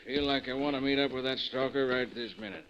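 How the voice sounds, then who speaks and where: A man's voice: medium pitch, level tone, fast, fairly bright tone, lightly acted. A man with a working-class American accent playing an edgy, belligerent beat cop in old-time radio drama, grumbling like a tough guy. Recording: dull vintage radio recording, faint noise.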